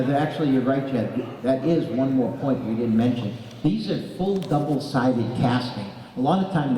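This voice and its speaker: A man makes an enthusiastic sales pitch for goods on the block, his voice mellow and dark. He sounds some distance from the mic, and a long reverb fills the large hall. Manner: enthusiastic product promotion. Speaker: auction pitchman. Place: large indoor auction showroom.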